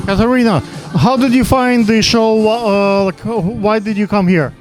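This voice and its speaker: A man from Ukraine interviewing models at a rooftop fashion event, halting and repeating words in a casual way. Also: sits high, fairly even intonation, faint music behind. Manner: halting, repeats words, non-native phrasing.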